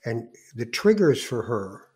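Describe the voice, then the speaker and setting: A man's voice: medium pitch, very animated, a little slow, slightly rough. A man interviewing in a casual, conversational way.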